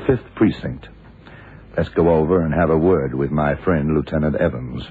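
Tough laconic detective, extremely deep voice, deadpan, tinny phone-like old radio sound. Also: male voice, moderate pace. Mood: brisk, assured. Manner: terse, deadpan. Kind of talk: hard-boiled radio drama acting. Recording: tinny, hissy 1970s radio recording.

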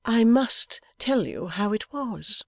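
A reader's airy, coquettish society wife, swooping high. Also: huge pitch swings, a little mellow, husky, no room sound, exaggerated voice. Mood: gaily teasing. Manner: coquettish, wheedling, drawn-out delivery.

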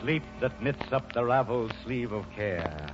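A man's voice: medium pitch, animated, unhurried, over music, natural voice. An actor playing a hot-tempered, self-dramatizing theatrical man in a 1940s radio drama. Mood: soothing. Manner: theatrical, blustering. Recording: dull, faintly noisy old radio.

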